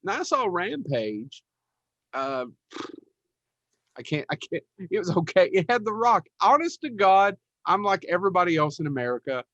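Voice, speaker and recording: A man's voice: high-pitched, sing-song, moderate pace, fairly bright tone, no room sound, dull audio. A man chatting casually and bluntly as a podcast co-host, with some mild swearing. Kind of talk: casual chat.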